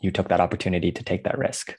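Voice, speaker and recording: A man's voice: low-pitched, fast talker, darkish tone, breathy edge. A man hosting a podcast, asking questions and reacting with enthusiasm. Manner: enthusiastic, encouraging interjections. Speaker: podcast host. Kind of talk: podcast interview.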